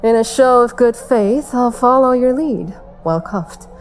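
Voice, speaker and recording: A woman's voice: fairly high pitch, a little slow, a little hiss or hum, lightly acted. A woman acting a cocky, sarcastic captive in an audio drama, teasing and narrating in a wry, slangy way. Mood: playful, cocky.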